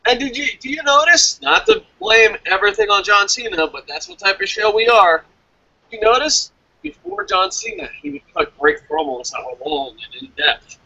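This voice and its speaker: A man's voice: sits high, huge pitch swings, moderate pace, gravelly, bright, forceful tone, no echo, muffled recording. A man co-hosting a wrestling review show in casual, opinionated chat, speaking bluntly and with plenty of swearing. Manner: profane, blunt.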